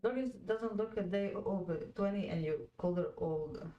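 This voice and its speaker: A woman's voice: medium pitch, normal speed, mellow, muffled recording. A woman in her twenties, a Croatian native speaker, hosting a casual fan watch-along and chatting with viewers, laughing often as she reads out their comments. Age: 20s. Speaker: fan panel host.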